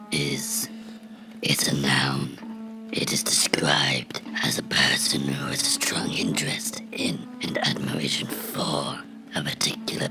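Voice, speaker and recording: A man playing a vengeful cartoon gnome come to life, menacing and mocking in a breathy, gravelly whisper for a horror ASMR role-play. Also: a bass voice, heavily acted. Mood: scolding menace. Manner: slow, deliberate, sinisterly playful, taunting.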